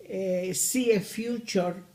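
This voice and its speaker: Elderly Argentine-accented grandmother in her eighties, unhurried, halting delivery. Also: mid-range voice, mellow. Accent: Argentine Spanish. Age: 80s. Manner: slow, hesitant, many pauses. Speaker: grandmother interviewee. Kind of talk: recorded family interview.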